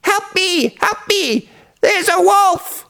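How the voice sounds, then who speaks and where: A man's voice: animated, takes it slowly, gravelly, fairly bright tone, full character voice. A man voicing a naughty little shepherd boy from a children's storybook, in an exclaiming, exaggerated childlike way. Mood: frantic shouting. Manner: high-pitched, exclaiming, exaggerated childlike delivery.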